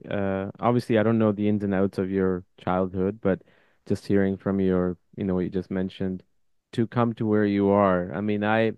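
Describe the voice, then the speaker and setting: A man's voice: fairly low pitch, smooth, dry recording, dull audio. A man hosting a podcast, chatting casually in an informal, effusive, loosely phrased way. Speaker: podcast host. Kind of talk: casual interview chat.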